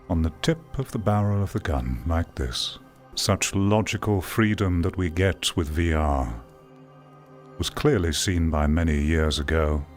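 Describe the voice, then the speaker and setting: Male game critic, low voice, dramatic pauses, reflective video-essay delivery over music. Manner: measured, theatrical, rhetorical delivery with pauses. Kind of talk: reflective scripted-sounding video essay commentary.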